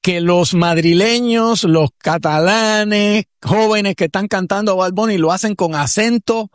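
Puerto Rican man, slightly high voice. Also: unhurried, no room sound, studio quality. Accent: Puerto Rican Spanish. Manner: reflective, digressive, many restarts and fillers. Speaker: radio co-host, commentator; professor. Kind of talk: casual talk-show commentary.

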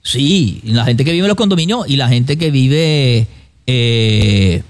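A male co-host with a Puerto Rican accent and a voice of average pitch. He comments conversationally, with tentative, measured phrasing, as part of a casual studio talk show. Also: lively intonation.

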